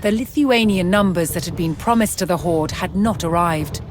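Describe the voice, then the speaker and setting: A woman delivering scripted, documentary-style game narration in a measured, solemn storytelling manner. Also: fairly low pitch, slight background noise. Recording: music bed behind the narration.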